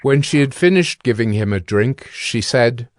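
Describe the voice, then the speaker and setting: A man narrating a dramatized scripture reading in a measured, formal, scripted style. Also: mid-range voice, expressive, no room sound, studio quality.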